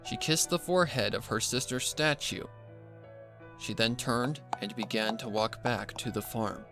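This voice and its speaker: A man's voice: medium pitch, unhurried, music in the background. A man reading scripted story narration as the narrator.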